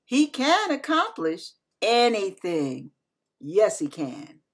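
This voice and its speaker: Female minister for devotional read: unhurried, husky-edged voice, earnest and instructive. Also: mid-range voice, huge pitch swings. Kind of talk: scripted devotional sermon.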